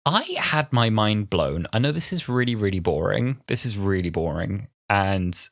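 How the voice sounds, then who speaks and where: A man in his twenties co-hosting a podcast, chatting casually and telling stories in an animated, chatty way. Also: on the low side, moderate pace, dry recording, clean studio sound. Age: 20s. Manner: chatty, animated storytelling with acted-out asides. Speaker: podcast co-host. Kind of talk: casual chat with a friend.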